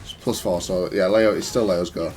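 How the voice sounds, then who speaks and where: A man's voice: low-pitched, fairly even intonation, normal speed, crowd noise. A man giving casual, analytical gameplay commentary in a matter-of-fact, self-critical way. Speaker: gaming content creator.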